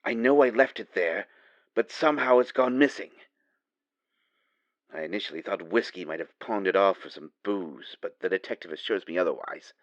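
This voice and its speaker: A man's voice: low voice, normal speed, no room sound, dull audio, lightly acted. A man playing a worried, secretive ally in an audio roleplay, speaking urgently and dramatically as if leaving a phone message. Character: urgent, worried male ally delivering a grave warning.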